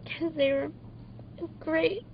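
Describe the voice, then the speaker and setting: A woman's voice: sits high, slow, vocal fry. A woman bystander talking unscripted in a news interview. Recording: muffled outdoor audio, faint noise.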